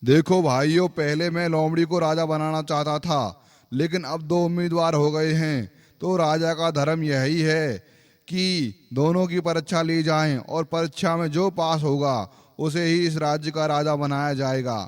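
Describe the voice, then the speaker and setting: A man voicing a solemn, fair-minded lion king in a cartoon fable, speaking in a measured, authoritative way. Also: a little high, fairly even intonation, lightly acted. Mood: solemn, deliberate. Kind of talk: animated moral story acting.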